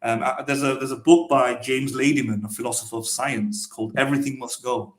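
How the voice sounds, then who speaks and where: A man's voice: mid-range voice, fairly even intonation, a little fast. A man co-hosting a stream, explaining philosophical points with frequent hesitant restarts. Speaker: co-host. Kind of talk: philosophical discussion. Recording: roomy echo.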